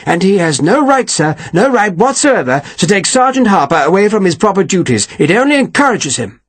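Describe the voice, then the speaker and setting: A man's voice: high-pitched, sing-song, fairly quick, husky, no room sound, voice pushed up, full character voice. A man voicing a fussy, indignant army captain who complains and keeps saying sir. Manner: prim, complaining, sticks "sir" on everything.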